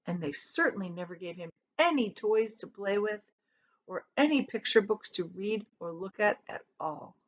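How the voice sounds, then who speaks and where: A woman reading a children's storybook aloud as a teacher would, with dramatic emphasis and drawn-out key words. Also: medium pitch, expressive.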